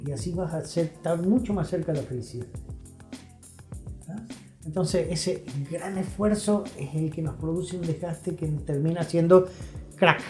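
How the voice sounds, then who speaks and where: A man's voice: a little high, normal speed, darkish tone. A man with an Argentine accent giving advice conversationally as an interview guest, emphatic and repeating phrases for effect. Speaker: interview guest, health professional. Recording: roomy echo, music behind.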